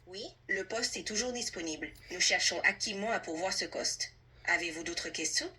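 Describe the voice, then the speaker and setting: A woman's synthetic assistant voice, husky, speaking fluently and politely as a tutor in a conversational role-play. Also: lowish voice, normal speed, pushed and bright. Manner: polite, fluent, helpful, orderly. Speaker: AI language tutor playing an employer.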